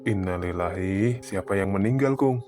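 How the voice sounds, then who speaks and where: A man voicing a kindly, understanding boss in an animated drama. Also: low-pitched, normal speed, soft background music, natural voice. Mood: concerned. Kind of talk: animated cartoon drama dialogue.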